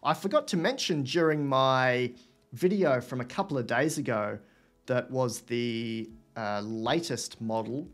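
A man in his thirties hosts a casual livestream, answering viewer questions and thinking aloud as he goes. His voice sits at an everyday middle pitch, picked up by a desk webcam in a room at home, with faint music underneath. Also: a little slow.

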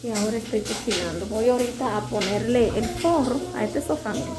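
A woman's voice: on the high side, lively intonation, a little fast. A woman in her thirties chatting candidly to a handheld phone camera while doing chores at home, her throat dry and sore. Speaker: home vlogger. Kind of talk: casual chat to camera. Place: small home, indoors. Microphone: handheld phone camera at arm's length. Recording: music in the background.

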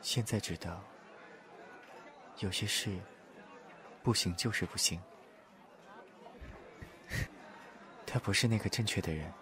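A man playing a gentle, reserved young man in an audio drama, speaking candidly and softly in measured, short phrases. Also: deep voice. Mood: resigned, candid. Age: young adult. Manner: soft-spoken, measured, pausing between short phrases. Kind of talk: Chinese audio drama acting, confessional. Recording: music and crowd chatter behind voice. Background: music and crowd.

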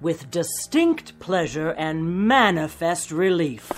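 An actress playing a blunt, no-nonsense secretary in a radio drama, speaking in a formal way. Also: female voice, lowish voice, sing-song, slow pace, faint music behind. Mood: cold contempt. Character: firm, no-nonsense female secretary.

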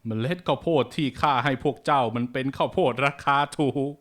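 A man's voice: medium pitch, fairly even intonation, brisk, fairly bright tone, faint music behind, natural voice. An actor playing a trickster male merchant in a cartoon tale, blurting things out with a mocking edge. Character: trickster male merchant forced to speak truth.

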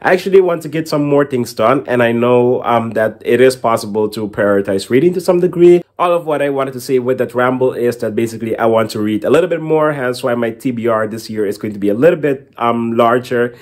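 Young male book vlogger, mid-pitched voice, casual and a little rambling, speaking to camera at home. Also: brisk, hardly any pauses, darkish tone. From Caribbean. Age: young adult. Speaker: book reviewer and channel host. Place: small indoor room at home with bookshelf.

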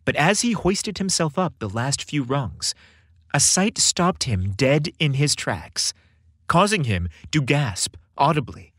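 A man narrating a scripted podcast story in an expressive, audiobook-like way. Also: fairly high pitch, slow, husky, dry recording, studio quality.

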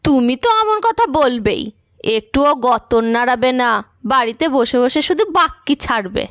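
Audio drama: actress as headstrong young wife a city household, high-pitched, emphatic, dry studio sound. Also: female voice, animated, unhurried, fairly bright tone, dry recording, full character voice. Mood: indignant, scornful. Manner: eager, emphatic, chiding. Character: excited, stubborn young Bengali wife and mother. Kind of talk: audio story acting.

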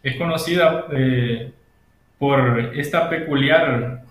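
A man in his thirties with a Honduran accent, presenting informally and hesitantly with many pauses on a video call. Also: mid-range voice. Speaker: guest presenter, agronomist. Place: indoor room at home. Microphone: video call device. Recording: very echoey room, video call.

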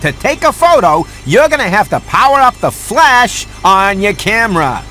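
An actor playing a sarcastic, fussy house cat who wisecracks his way through an animated video game. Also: high-pitched, normal speed, soft background music, heavily acted. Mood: wry, instructing. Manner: wisecracking, sardonic.

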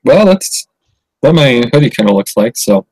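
A man's voice: mid-range voice, big pitch swings, moderate pace, a little mellow, slightly rough, no echo, low-quality recording. Giggly man commentating a game. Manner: chatty, giggly, reacting to on-screen dialogue. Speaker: livestream host playing a game. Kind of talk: casual gameplay commentary.

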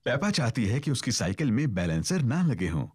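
A man's voice: medium pitch, big pitch swings, a little fast, a little mellow, slightly rough, no room sound. A man narrating a preschool cartoon as a scripted children's story. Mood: cheerful narration.

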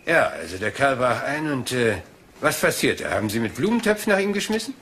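An actor playing a polite, seemingly scatterbrained police inspector in a crime drama, hesitant, rambling and deferential. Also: male voice, mid-range voice, big pitch swings, brisk, fairly bright tone, slight background noise. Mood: apologetic, rambling. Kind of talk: German crime drama dialogue.

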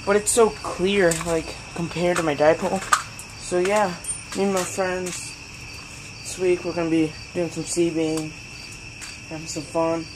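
A man's voice: sits high, little pitch movement, unhurried. A young male hobbyist giving a casual, rambling, slangy product review outdoors at night. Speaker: hobbyist vlogger. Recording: noisy outdoor recording with insects.

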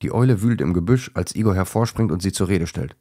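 Male narrator for a children's bedtime tale; low pitch, husky grain, dry close sound. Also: fast talker, mellow, no echo. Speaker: narrator of a children's audio story. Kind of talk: scripted bedtime story narration.

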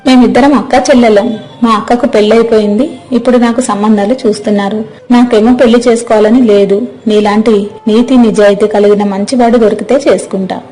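A woman's voice: on the high side, fairly even intonation, brisk, mellow, faint music behind, close to an everyday voice, low-quality recording. A woman playing a friendly, earnest young mermaid who admires her friend in an acted moral story. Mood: shy, chatty.

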